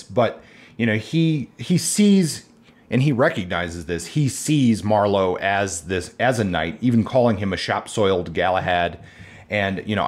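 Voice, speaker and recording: Man, low deep voice, podcast co-host, hesitant, casual chat. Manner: hesitant, many fillers, steers the discussion. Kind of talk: casual literary discussion.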